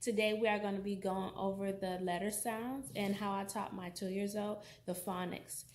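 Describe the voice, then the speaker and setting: A woman's voice: medium pitch, steady tone, moderate pace, a little mellow. A young woman, a mother talking casually and teaching her toddler with sing-song prompts, recorded outdoors in a park. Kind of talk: casual vlog and simple lesson to a small child. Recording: outdoor recording with background noise. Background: outdoor ambient noise.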